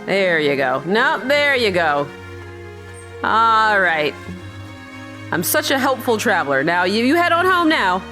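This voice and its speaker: A woman's voice: mid-range voice, big pitch swings, a little slow, long pauses, pushed and bright, soft background music. A woman hosting a game playthrough with casual commentary, playful, irreverent and chatty. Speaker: gamer host of a playthrough.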